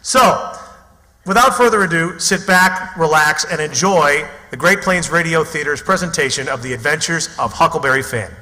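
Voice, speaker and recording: A man's voice: fairly high pitch, moderate pace, bright, forceful tone. A man speaking as host and announcer of a live radio theatre show, introducing it from the stage. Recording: echoey theatre hall recording.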